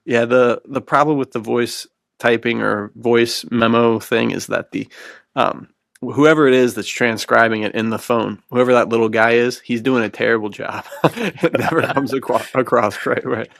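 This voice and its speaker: A man's voice: mid-range voice, normal speed, creaky, fairly bright tone, no echo, clean studio sound. A man co-hosting a podcast, chatting casually and telling self-deprecating stories in colloquial language. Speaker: podcast co-host and writer.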